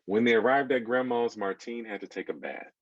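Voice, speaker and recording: An American man in his thirties reading a children's book aloud, expressively, pausing to put questions to his young listeners. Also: medium pitch, no echo, muffled recording. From United States. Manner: expressive, engaging listeners with asides. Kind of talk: animated storytelling to children, with questions.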